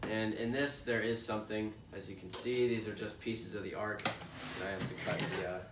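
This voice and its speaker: A young man with a stuffy, congested voice talks casually while opening a toy box, wandering off track and filling gaps with ums and uhs. He sounds some distance from the mic in a roomy space, with steady background noise underneath. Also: lowish voice, a little fast. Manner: rambling and chatty with frequent fillers. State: congested from allergies. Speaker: toy unboxing host. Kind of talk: casual unboxing chat. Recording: echoey room, distant mic, noisy background.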